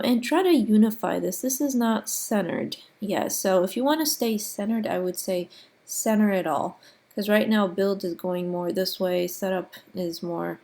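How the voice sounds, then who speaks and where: A woman teacher giving recorded design critiques to her class, with allergies and some sniffling, talking in a relaxed way with frequent ums. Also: mid-range voice. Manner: relaxed, frequent ums and uhs, gently corrective.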